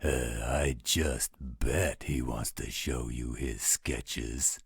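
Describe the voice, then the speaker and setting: A man playing a gruff, overprotective werewolf guardian with a husky, gravelly voice, teasing yet loyal, in a roleplay audio drama. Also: rumbling low, steady tone, extremely slow, dry recording, clean studio sound, full character voice. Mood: wry suspicion.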